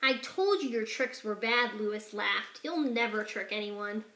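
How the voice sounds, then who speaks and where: A woman's voice: a little slow, echoey room. A woman reading a children's picture book aloud, changing her voice for the characters' lines. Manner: expressive, shifts pitch and loudness for each character.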